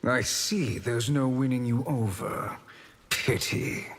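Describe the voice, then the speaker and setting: A man playing a sly, manipulative charlatan sorcerer in a fighting game, smooth-talking and calculating. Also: mid-range voice, slow. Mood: sly, insinuating. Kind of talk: video game fight intro banter. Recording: long hall reverb.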